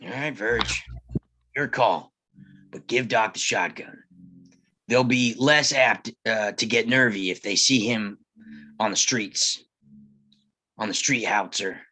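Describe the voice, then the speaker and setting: A man playing a weary, cautious Old West lawman in a screenplay table read, speaking haltingly and plainly. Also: lowish voice, bright, forceful tone, exaggerated voice, rough recording. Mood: resigned.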